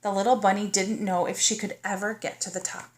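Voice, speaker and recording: A woman's voice: medium pitch, flat delivery, a little hiss or hum. A woman narrating a children's storybook as a teacher would, in a child-directed manner that invites the young listener in. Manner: child-directed, pausing to ask the listener questions. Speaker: teacher reading aloud to kindergartners. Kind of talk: storybook read-aloud narration.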